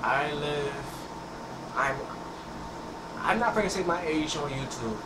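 A man's voice: on the high side, animated, takes it slowly. A teenage man, rambling casually over gameplay and often restarting his words. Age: teens. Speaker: gameplay channel host. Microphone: headset mic. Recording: echoey, distant, noisy room sound.

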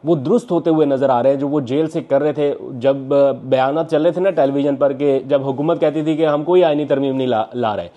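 A man's voice: mid-range voice, a little fast, few pauses, mellow. A young man, a political journalist vlogging from his living room on a lapel mic, talking earnestly with many "uh" fillers. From Pakistan. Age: young adult. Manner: earnest analytical talk with frequent uh fillers and hand gestures. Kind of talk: political news vlog. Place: home living room, indoors.

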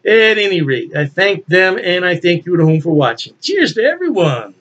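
An older man talks through a beer tasting in a relaxed, folksy way, chuckling to himself as he goes. His voice sits a little high for a man. The webcam sound is somewhat dull and lo-fi. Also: normal speed. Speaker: beer reviewer. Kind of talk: casual solo video review. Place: home office with beer memorabilia, indoors. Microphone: webcam at desk.

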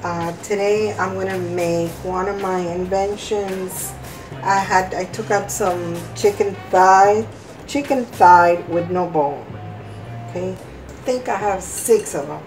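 A woman with a slightly hoarse voice hosting a casual cooking demonstration, talking step by step in a halting way. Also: lowish voice, darkish tone, faint music behind. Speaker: cooking vlog host. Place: home kitchen, indoors.

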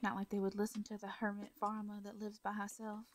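A woman voicing a gentle Southern farmer woman. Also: medium pitch, completely flat delivery, brisk, a little hiss or hum. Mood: warm. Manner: soft, stammering, frequent light laughs. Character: gentle hermit farmer woman, caring and shy. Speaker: voice actor in audio roleplay. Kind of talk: soft comforting audio roleplay.

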